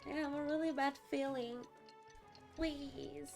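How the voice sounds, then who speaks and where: A woman's voice: high-pitched, steady tone, slow, vocal fry, a little mellow, faint music behind. A young woman streaming a game in casual chat, drowsy and giggly, often pleading. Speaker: streamer playing a video game. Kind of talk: casual let's play chat.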